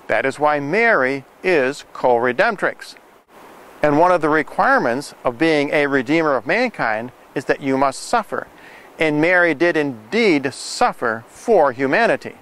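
Casting: older male prophecy preacher, slightly high pitch, forceful bright tone, deliberate and emphatic delivery. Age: older, 60s-70s. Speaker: video preacher, host of a prophecy program. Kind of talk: earnest scripted religious monologue to camera.

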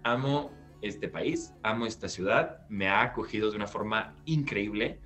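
A man, an actor recounting his career in an interview over a video call from a bright living room. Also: lowish voice, normal speed, soft background music. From Peru. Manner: animated, gestures while recounting, restarts phrases. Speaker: guest actor. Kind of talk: storytelling interview answer. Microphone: laptop webcam on video call.

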